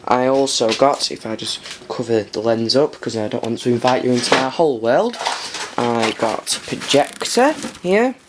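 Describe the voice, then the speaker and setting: A British man with a medium-pitched voice presents informally, like a hobbyist showing off his gear. He speaks haltingly, thinking aloud as he goes. The home camera recording has clear background noise and clicks. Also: animated. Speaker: hobbyist presenter showing restored vintage tape machines. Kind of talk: informal hands-on show-and-tell.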